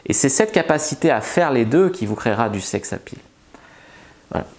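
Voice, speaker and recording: A man's voice: medium pitch, very animated, normal speed. Casual, chatty male seduction coach. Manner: loose and chatty, frequent filler words and restarts. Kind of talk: casual advice monologue to camera.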